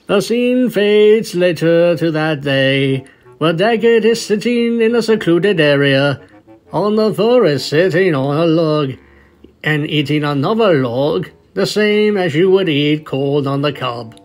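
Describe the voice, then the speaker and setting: A man's voice: fairly high pitch, expressive, measured pace, faint music behind. A man reading a story aloud as a scripted narration. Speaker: fanfiction reader, narrator.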